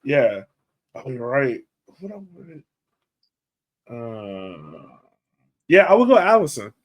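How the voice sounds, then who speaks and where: A man's voice: mid-range voice, very animated, slow. A man co-hosting a soccer podcast in casual chat, laid-back and thinking aloud, often trailing off. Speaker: podcast co-host.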